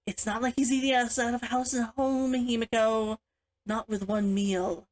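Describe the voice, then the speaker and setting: A woman's voice: fairly high pitch, steady tone, normal speed, close to an everyday voice. A woman reading the part of a proud, bitter and defiant younger sister in a storybook. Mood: irritated, defensive. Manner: defiant, challenging.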